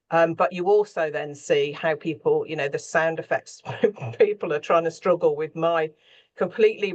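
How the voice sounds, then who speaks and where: Female panel guest, scriptwriter. Conversational and anecdotal, wanders off mid-thought in a chatty style. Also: deep voice.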